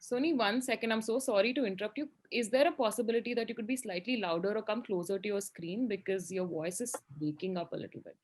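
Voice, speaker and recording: Host, woman, quick and polite, speaking over a video call. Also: medium pitch, darkish tone. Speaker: interviewer, host. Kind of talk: polite interview prompting.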